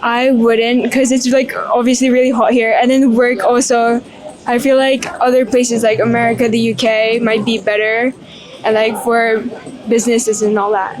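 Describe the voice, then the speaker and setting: A teenage girl from Indonesia gives short answers in a street interview. Her voice is a little high and soft-spoken, with plenty of "like.". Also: little pitch movement, moderate pace, rough recording. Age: teens. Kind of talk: casual chat.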